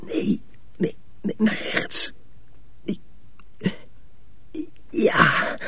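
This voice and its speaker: A man acting in a radio drama as an earnest, troubled young mill apprentice, urgent and often hushed. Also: drawn-out words, full character voice. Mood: eager, defiant.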